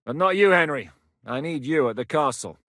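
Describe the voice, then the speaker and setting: A fierce, blunt warlord commander. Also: male voice, on the high side, expressive, a little fast, fairly bright tone, no echo, clean studio sound. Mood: commanding. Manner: commanding, blunt, swears in battle. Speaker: military commander character. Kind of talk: video game voice lines.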